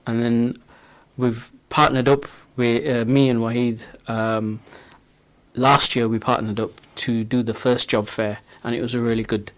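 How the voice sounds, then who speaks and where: A man's voice: mid-range voice, flat delivery, measured pace. A man speaking as a guest in an informal radio interview, hesitant and understated. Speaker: interview guest, marketing director.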